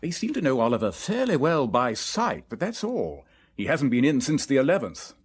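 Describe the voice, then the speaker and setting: An actor playing an affable English army major in a radio drama, with chummy clipped slang and an upper-class English accent. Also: male voice, mid-range voice, wildly swooping pitch, moderate pace, slightly rough. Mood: matter-of-fact.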